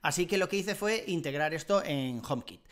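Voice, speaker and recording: Male tech vlogger, 40s, Castilian Spanish accent, fairly high-pitched, conversational and colloquial, recorded at home on a close mic. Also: lively intonation, fairly quick, a bit bright. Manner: conversational, colloquial, with frequent tag questions. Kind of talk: casual explainer to camera. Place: furnished living room at home. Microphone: desk microphone close in front.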